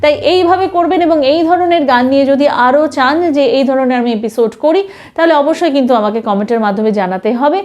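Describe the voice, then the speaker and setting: A woman's voice: high voice, quick pace, darkish tone. A woman giving an instructional singing lesson, explaining emphatically and mixing in English terms. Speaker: singing teacher. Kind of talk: instructional music lesson to camera.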